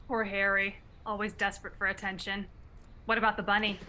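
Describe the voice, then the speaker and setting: A woman's voice: medium pitch, level tone, a little fast, sharp tone, natural voice. A woman reading a young college woman with a wry, teasing delivery in a script-reading radio play.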